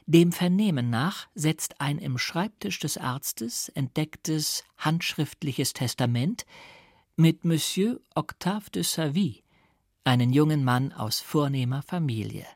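A man reading literary fiction as an audiobook narrator, in a measured, carefully articulated storytelling manner. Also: a little high, animated, dry recording, studio quality. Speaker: audiobook reader.

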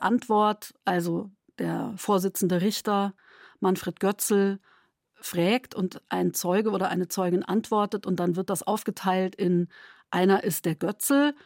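Woman dramaturg explaining her work in an interview, engaged, medium pitch, dry close sound. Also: a little mellow, no room sound. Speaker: radio play dramaturg. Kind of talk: spontaneous interview talk.

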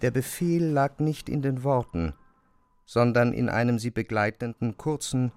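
A man narrating a literary audio drama, with measured, deliberate phrasing. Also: mid-range voice, a little mellow, dry recording.

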